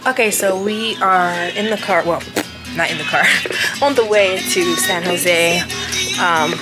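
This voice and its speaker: A woman's voice: lowish voice, unhurried, loud music behind the voice. A woman in her twenties talking casually to a handheld camera while she travels, rambling and thinking aloud. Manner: chatty, rambling, thinks aloud with likes and ums. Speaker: travel vlogger. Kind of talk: casual solo travel vlog. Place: hotel room and outdoor restaurant. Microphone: handheld camera at arm's length.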